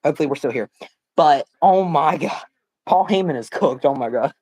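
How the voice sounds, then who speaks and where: A young man hosting a live watch party stream, talking excitedly with lots of slang and exclamations, his voice hoarse and cracking from a sore throat. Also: fairly high pitch, normal speed. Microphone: phone.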